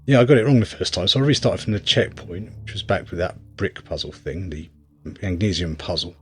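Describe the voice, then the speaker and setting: A man's voice: low-pitched, a little fast, a little hiss or hum. A man giving casual gameplay commentary, rambling wryly as he thinks aloud while playing. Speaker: game reviewer and player.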